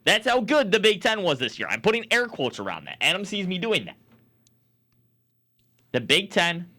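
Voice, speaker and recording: Young guy doing sports commentary, kinda high bright punchy voice, emphatic and opinionated. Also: very animated, normal speed. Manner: emphatic, argumentative. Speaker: sportscaster and sports talk host.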